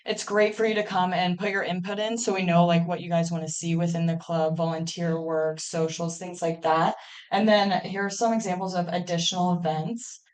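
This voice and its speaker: Young woman, 20s, from Ohio, lowish voice, club president, informal, lots of ums, classroom. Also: steady tone, moderate pace, rough recording. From Ohio, USA. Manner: friendly, informal, uses many "um"s. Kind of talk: welcome talk at a club meeting.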